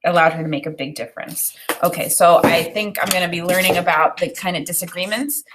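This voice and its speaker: A woman teacher reading a children's book aloud, with expressive, deliberate phrasing and explanatory asides. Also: on the low side, background noise. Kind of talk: read-aloud of a children's book with think-aloud commentary.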